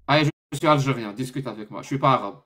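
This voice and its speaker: A man's voice: medium pitch, rapid-fire, crowd noise. A man from Algeria hosting a live-stream debate, firm and corrective, with a dry sarcastic edge.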